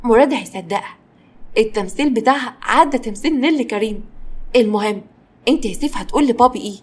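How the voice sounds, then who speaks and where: A woman's voice: on the high side, breathy edge. A woman voicing a bossy, confident girl who leads her siblings' plotting in an audio story. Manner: brisk, commanding.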